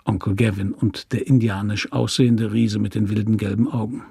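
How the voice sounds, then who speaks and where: A man's voice: on the low side, steady tone, normal speed, vocal fry, mellow, breathy edge, no echo, clean studio sound. A man narrating a literary story from a script.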